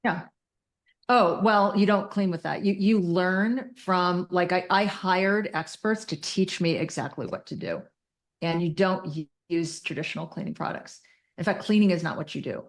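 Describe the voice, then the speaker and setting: A woman's voice: fairly low pitch, normal speed. A woman speaking as an interview guest, explaining things in detail with technical terms and emphasis. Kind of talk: explanatory interview talk from personal and clinical experience.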